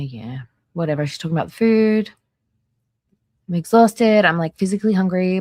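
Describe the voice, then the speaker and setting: Lower-voiced woman hosting a casual livestream. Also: a little mellow, no room sound. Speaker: livestream host. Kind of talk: casual reaction livestream, reading a transcript aloud with asides.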